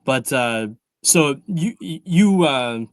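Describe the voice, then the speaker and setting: A man's voice: a little high, lively intonation, extremely slow, no echo, clean studio sound. A man in his forties hosting a podcast, chatty and joking, asking questions in fits and starts. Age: 40s. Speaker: podcast host. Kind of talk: casual interview.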